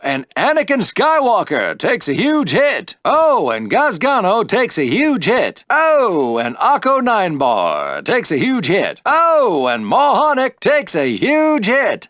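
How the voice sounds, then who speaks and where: High male voice, dry close sound, excitable sci-fi race announcer, showy exclamations, stretched-out names, video game commentary. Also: no echo, heavily acted. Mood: excited shock. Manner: exclamatory, drawn-out names, showy play-by-play delivery. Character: excitable male podracing announcer, brash showman.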